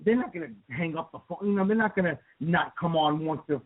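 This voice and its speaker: A man hosting a paranormal radio talk show, excitable and often repeating words as he speaks. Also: fairly high pitch, fast, dry recording. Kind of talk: casual radio chat. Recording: dry tinny phone-like line.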